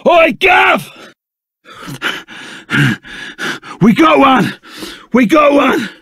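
A man's voice: extremely high pitch, sing-song, slow, creaky, bright, forceful tone, dry recording, clean studio sound, full character voice. A man voicing a burly, blustering but loyal bandit sidekick in a video game, with a Cockney accent and blunt, slangy manner. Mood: alarmed, excited. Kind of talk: animated video game character acting.

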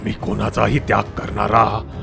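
A man's voice: fairly low pitch, monotone, unhurried, gravelly, over music, pitched below the performer's own voice. A man voicing a merciless venomous cobra, cold and threatening, in a children's cartoon. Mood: cold menace. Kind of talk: children's cartoon villain acting.

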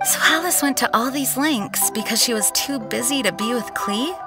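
Woman playing an earnest, higher-voiced young knight. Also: moderate pace, natural voice. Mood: pensive. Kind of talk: video game voice acting. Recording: music playing behind the voice.

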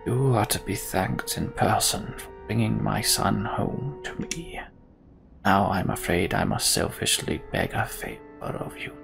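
A man acts out an old, ailing king from a fantasy game, low and gravelly. He speaks slowly and gravely in a breathy hush, weary but regal, over background music. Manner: slow, careful, hushed. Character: old withered poisoned king, weary and solemn. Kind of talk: video game character dialogue.

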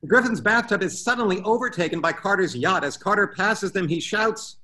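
A man's voice: high voice, a little fast, bright, forceful tone. A man reading stage directions as scripted narration at a table read over a video call. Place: room at home, video call.

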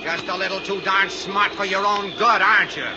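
An actor playing a cold, scheming swindler with an American accent in a 1950s radio crime drama. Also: male voice, sits high, steady tone, normal speed, lightly acted. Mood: menacing. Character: cold, scheming, murderous male insurance swindler. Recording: muffled, noisy 1950 radio recording.

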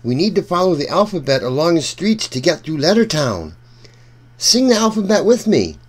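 A man reading a storybook aloud for children in an animated, deliberate way, speaking directly to young listeners. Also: fairly high pitch, husky.

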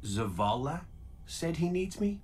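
An actor playing a wisecracking male robot gunslinger in a video game, full of quick sarcastic quips. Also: fairly high pitch, big pitch swings, background noise. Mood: teasing banter. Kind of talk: video game cutscene acting.

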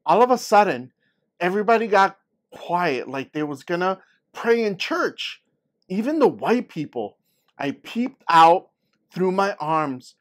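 Storybook narrator: earnest young girl, read by a man, dramatic delivery, raised pitch. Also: very animated, a little slow. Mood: earnest, suspenseful. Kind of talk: scripted picture-book read-aloud.

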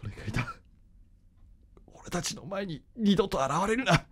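A man's voice: high voice, big pitch swings, moderate pace, fairly bright tone, slight background noise. A man playing a devoted, intensely emotional, anguished young man in a Japanese radio drama. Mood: bitter anger.

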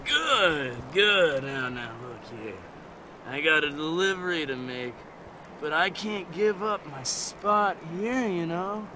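An actor playing a shady, jittery hippie drug dealer who rambles and repeats himself in stoner slang for a video game. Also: male voice, on the high side, lively intonation, slow pace, crowd noise. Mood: placating, shifty. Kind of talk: video game cutscene acting.

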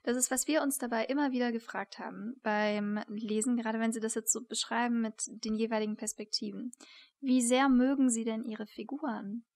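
A woman's voice: fairly high pitch, steady tone, moderate pace, a little mellow. A woman in her thirties co-hosting a literary interview in a conversational style. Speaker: interviewer, co-host. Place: attic room, indoors. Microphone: shared desktop microphone on a video call.

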